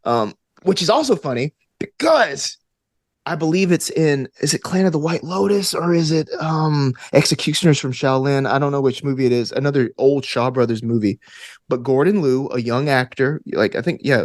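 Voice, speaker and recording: A man with a mid-pitched voice chats casually as a podcast guest. He is enthusiastic and geeky, wandering into tangents as he goes. The sound is dry, with hardly any room to it. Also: brisk, no echo. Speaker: podcast guest, actor and tabletop gamer. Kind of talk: casual interview chat.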